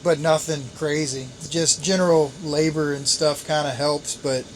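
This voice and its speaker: A man's voice: fairly high pitch, a little slow, a little hiss or hum, low-quality recording. Thirty-something man chatting on a boat. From Chesapeake Bay area, US. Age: 30s. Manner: relaxed, rambling, with restarts and laughs. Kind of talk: casual chat. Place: on a boat out on the water.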